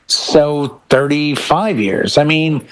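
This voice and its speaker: A man's voice: on the high side, takes it slowly. A man talking enthusiastically and emphatically in a casual panel chat as one of the show's panelists. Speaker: panelist on a DJ talk show.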